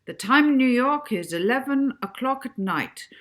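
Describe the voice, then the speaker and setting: Woman putting on a formal airline pilot, pitched up. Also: lively intonation, normal speed, fairly bright tone. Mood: bright, formal. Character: airline pilot making a cheerful cabin announcement. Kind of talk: cabin announcement in a storybook reading.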